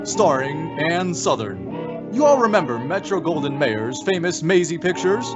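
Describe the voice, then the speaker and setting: A man's voice: a little high, sing-song, measured pace. A man announcing a show in a showy, emphatic 1940s radio style. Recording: dull lo-fi recording with music. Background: music.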